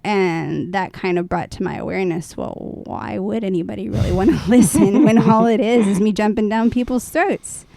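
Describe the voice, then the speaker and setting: A woman co-hosting a podcast, talking in a thoughtful, self-reflective and conversational way, with hesitant pauses. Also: medium pitch, vocal fry, mellow, no room sound. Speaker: podcast co-host.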